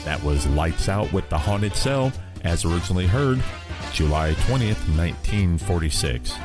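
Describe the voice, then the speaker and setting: Deep-voiced American male radio host. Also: lively intonation, moderate pace. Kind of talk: scripted radio presenting. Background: music bed.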